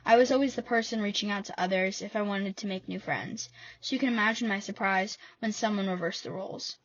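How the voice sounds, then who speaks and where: A woman's voice: medium pitch, fairly quick, no echo, muffled recording. Wry teen girl reading her essay. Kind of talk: scripted personal essay reading.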